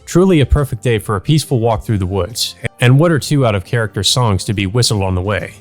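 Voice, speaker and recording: An easygoing young man read out by a male player narrates his visual novel story in a lowish voice. He sounds conversational and a little wry, over faint music. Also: lively intonation, fast talker, natural voice.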